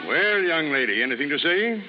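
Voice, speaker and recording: An actor playing a stern, brisk night-court judge with a curt, authoritative manner in a 1930s radio drama. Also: male voice, on the high side, very animated, faint music behind. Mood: questioning, firm. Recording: noisy muffled 1930s radio recording.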